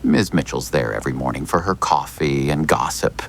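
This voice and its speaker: Gravelly, evasive small-town lawyer. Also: male voice, extremely low pitch, slight background noise. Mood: cryptic, insinuating. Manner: measured, theatrical, hinting. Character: smooth, evasive small-town male lawyer with insinuating politeness. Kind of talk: audio drama acting.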